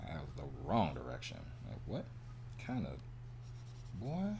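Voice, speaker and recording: A man's voice: rumbling low, wildly swooping pitch, unhurried, creaky, noisy background. A man chatting casually as he works on his game art, muttering to himself and exclaiming in a self-deprecating way. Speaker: indie game developer and animator streaming his work. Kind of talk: casual devlog chat while drawing, thinking aloud.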